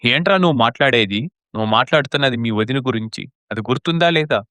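A man playing a steady, protective elder brother in a moral story drama, firm and measured. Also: mid-range voice, no room sound, lightly acted. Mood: indignant.

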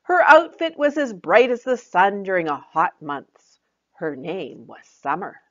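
Animated older woman reading a children's story. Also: mid-range voice, measured pace, dull audio. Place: small plain room indoors.

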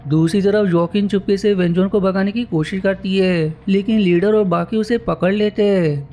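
High-pitched male movie recap narrator. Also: gravelly, darkish tone, slight background noise. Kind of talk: scripted storytelling narration.

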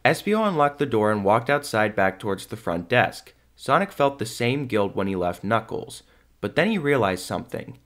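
A man's voice: on the low side, big pitch swings, normal speed, fairly bright tone. A man reading scripted narration as the narrator of an audio drama.

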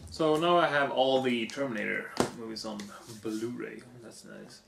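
A hoarse young man on a living room couch, casually reading off movie box features. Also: medium pitch, moderate pace, background noise. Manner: reads off lists, offhand comments. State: hoarse, losing his voice. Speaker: vlogger. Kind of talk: casual unboxing chat. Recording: echoey room.

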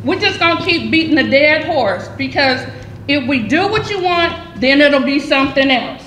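A woman's voice: high-pitched, lively intonation, normal speed, fairly bright tone. A woman in her fifties, a committee member, speaking emphatically at a public meeting and repeating her points. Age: 50s. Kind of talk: impassioned public meeting remarks. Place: large community center meeting room. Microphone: table microphone. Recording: echoey hall, faint room noise.

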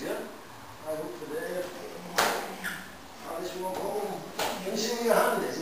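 An older man teaching a karate class in a chatty, loose way, often checking with "yeah?", recorded by a camera far off in a large room. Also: high voice. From England. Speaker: karate instructor. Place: large echoey indoor dojo. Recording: echoey hall from far off, noisy.

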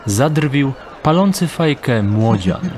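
A man narrating an audio drama in a measured, deliberate storytelling manner. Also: mid-range voice, animated, darkish tone, slight background noise.

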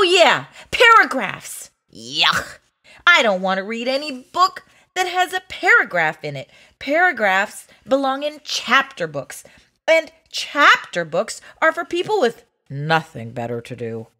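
A woman's voice: a little high, bright, forceful tone, heavily acted. A woman voicing a grumpy, exasperated child who hates reading, melodramatic and complaining, in a storybook read-aloud. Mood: dismissive. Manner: melodramatic, complaining, drawn-out emphasis.

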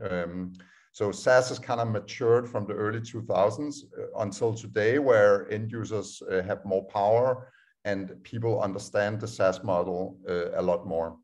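A man's voice: on the low side, fairly even intonation, measured pace, a little mellow. A man in his thirties with a Danish accent, giving a casual online presentation on a video call, with many filler sounds as he explains. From Denmark. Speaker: startup co-founder giving a talk. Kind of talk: online presentation over screen share.

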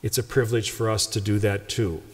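A man's voice: lowish voice, flat delivery, fairly quick, noisy background. A man in his sixties, a pastor with an Upper Midwest American accent, reading the Gospel and then giving wry, conversational welcome remarks from the lectern. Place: large church sanctuary. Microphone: lectern microphone. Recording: long church reverb.

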